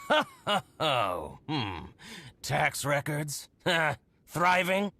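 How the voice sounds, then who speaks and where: A man's voice: fairly high pitch, wildly swooping pitch, drawn-out words, bright, forceful tone, heavily acted. A man playing a scheming, gossipy shopkeeper in a video game, theatrical and exaggerated in his delivery. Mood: scornful. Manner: exaggerated, dramatic, gleeful. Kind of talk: video game character acting. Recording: music playing behind the voice. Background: game background music.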